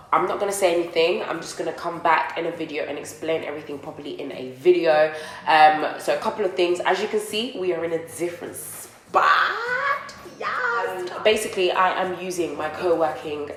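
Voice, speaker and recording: A woman's voice: low-pitched, roomy sound, rough recording. A young woman, chatting to her viewers in a casual vlog while doing her makeup, sounding slightly nasal from a recent cold. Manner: rambling and chatty, talks to viewers as friends. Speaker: beauty vlogger. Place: co-working office space, indoors.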